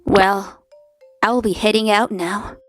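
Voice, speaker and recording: A woman's voice: mid-range voice, steady tone, takes it slowly, faint music behind, close to an everyday voice. A woman playing a polite, persistent young office worker with a crush in a scripted manga dub. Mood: subdued.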